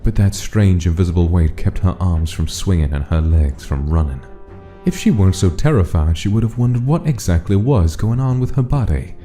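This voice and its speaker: A man's voice: sits low, animated, moderate pace, warm tone. A man narrating a dramatic story from a script, as the narrator of a comic dub. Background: music.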